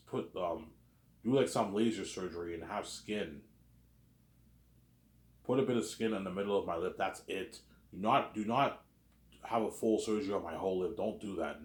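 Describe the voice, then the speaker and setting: Brief: man, 30s, low voice, rambling vlog monologue in an echoey room. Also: darkish tone. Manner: repetitive, keeps adding "obviously" and "man". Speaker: vlogger. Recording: roomy echo.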